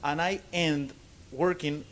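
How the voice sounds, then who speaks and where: A man from Argentina with a Spanish accent, giving an informal lecture in halting English and often checking in with "okay?". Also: a little high, steady tone, fairly bright tone, a little hiss or hum. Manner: halting non-native English, frequent "okay?" check-ins. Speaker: bartender giving a seminar. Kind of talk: informal lecture to a live audience.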